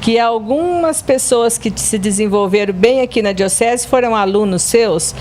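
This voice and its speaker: A woman's voice: fairly high pitch, normal speed, a little mellow, a little hiss or hum. A woman interviewing an elderly priest, asking polite and respectful questions.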